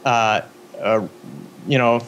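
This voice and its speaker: An American man being interviewed as a guest, speaking casually and hesitantly with many pauses and restarts. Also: medium pitch, steady tone, a bit bright, dull audio, a little hiss or hum. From United States. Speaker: guest filmmaker.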